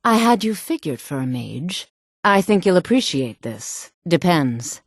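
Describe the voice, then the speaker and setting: A sultry townswoman from a fantasy game. Her voice sits low and swings widely in pitch, recorded dry and close. She sounds self-possessed, knowing and flirtatious. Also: sing-song, measured pace, no room sound, heavily acted. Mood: sultry, inviting. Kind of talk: scripted video game voice lines.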